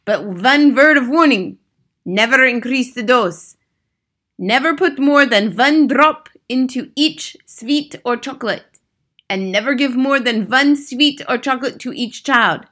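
A woman's voice: high-pitched, unhurried, bright, forceful tone, pitched above the performer's own voice, full character voice. A woman voicing an imperious witch leader from a storybook, bossy, scornful and relishing every instruction. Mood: gloating, instructing. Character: imperious, triumphant Grand High Witch, bossy villain. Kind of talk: storybook character read aloud.

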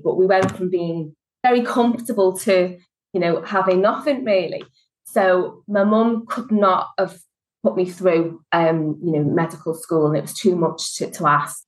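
A woman's voice: fairly low pitch, low-quality recording. Chatty Scouse woman telling her story. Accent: Liverpool (Scouse). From Liverpool, England. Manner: chatty, storytelling, frequent fillers. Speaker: guest, HR consultancy director. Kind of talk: conversational interview.

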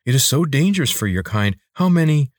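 A man's voice: medium pitch, very animated, fairly quick, no echo, clean studio sound, voice pushed up, natural voice. A man voicing a small, loyal, fretful lizard creature in an audiobook, with formal, deferential phrasing. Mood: anxious warning. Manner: formal, deferential phrasing addressing a master as sir. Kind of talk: audiobook character voice.

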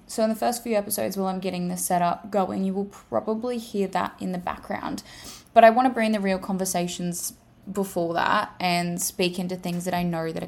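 A woman's voice: medium pitch, slight background noise. A woman with an Australian accent hosting her podcast, chatting casually, swearing freely and stacking repeated phrases. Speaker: podcast host and life coach. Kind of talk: casual solo podcast monologue. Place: home room. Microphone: simple home setup.

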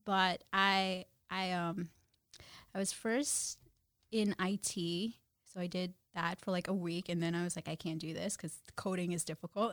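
A woman's voice: on the low side, dry recording, clean studio sound. A woman in her twenties from Guyana, a podcast guest talking in a bubbly, casual way and laughing often. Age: 20s. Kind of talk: casual interview chat.

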